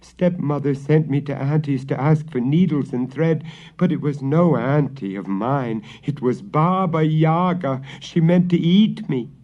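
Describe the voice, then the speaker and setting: A man's voice: a little high, measured pace, muffled recording, voice pushed up, lightly acted. A man voicing a frightened young girl in a storybook tale, sobbing and pleading earnestly. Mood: tearful.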